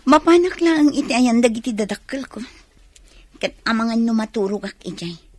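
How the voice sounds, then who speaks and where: A woman's voice: fairly high pitch, expressive, brisk, no echo. A woman with an Ilocano Filipino accent playing a defiant young wife with secret plans in a radio drama. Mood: defensive.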